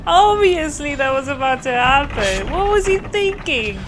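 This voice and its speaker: A woman's voice: sits high, lively intonation, measured pace, noisy background. A woman giving casual commentary as she reacts to video game footage.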